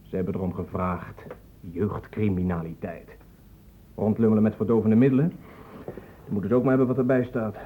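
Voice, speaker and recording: A man's voice: on the low side, steady tone, fairly quick, slightly rough. An actor playing an indignant young man in a radio play, speaking for his friends with insistent, challenging questions. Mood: accusing. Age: young adult. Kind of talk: Dutch radio drama acting. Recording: muffled, narrow-band old radio recording, noisy.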